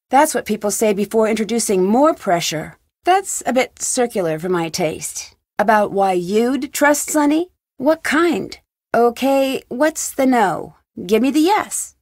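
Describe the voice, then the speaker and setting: An inquisitive young female detective from a video game, with a fairly high voice and very lively intonation. She puts her questions and replies crisply, with a dry wit, in a close, dry recording. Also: no room sound. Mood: probing. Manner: inquisitive, crisp, lightly wry. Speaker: video game lead character.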